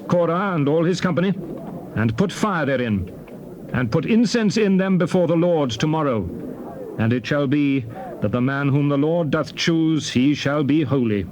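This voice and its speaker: An actor playing an indignant prophet and leader, emphatic and declamatory, in a scripture drama. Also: male voice, on the high side, a little mellow, slight background noise, poor audio. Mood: commanding.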